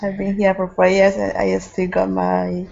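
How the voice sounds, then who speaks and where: A woman student from Colombia speaks English with a Colombian Spanish accent, hesitant and giggly. Her voice has a gravelly fry. The recording is somewhat dull. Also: mid-range voice, monotone, normal speed, a little mellow.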